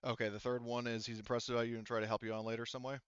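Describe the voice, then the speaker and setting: Guy gm-ing a tabletop game, rambly and stop-start, crisp voice but slightly dull audio. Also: medium pitch, little pitch movement, fairly bright tone, no room sound. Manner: halting, explains in rambling detail. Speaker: game master. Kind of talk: casual tabletop game narration.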